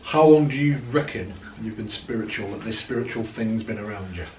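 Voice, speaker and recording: A man's voice: on the low side, fairly quick, distant mic, background noise. Man asking questions in an echoey room. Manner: leading, encouraging questions. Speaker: interviewer, paranormal channel host. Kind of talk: casual interview. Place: living room, indoors, on a sofa. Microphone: far camera.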